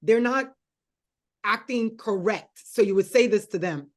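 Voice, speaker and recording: A woman's voice: mid-range voice, unhurried. A woman hosting an interview show, coaxing her guest through a guessing game with patient hints. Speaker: show host.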